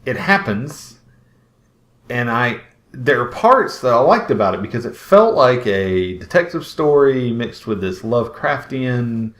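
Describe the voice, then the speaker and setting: A man's voice: mid-range voice, sing-song, a little slow, a bit bright. Rambling man in an echoey room. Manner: rambling, uses 'like' often. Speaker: podcast co-host. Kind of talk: casual conversation about films. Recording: roomy, echoing voice pickup.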